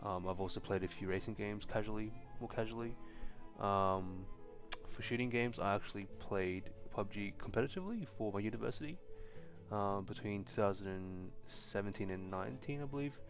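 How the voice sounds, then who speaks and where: Relaxed man on a live stream. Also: fairly low pitch, moderate pace, over music. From China. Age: young adult. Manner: relaxed, frequent ums and restarts.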